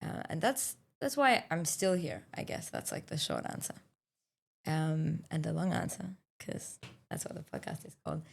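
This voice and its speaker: Podcast co-host: young woman, low pitch, thoughtful, lots of ums and self-corrections. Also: wildly swooping pitch, creaky. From South Africa, raised in Australia. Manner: thoughtful, halting, many fillers and restarts. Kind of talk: casual podcast conversation.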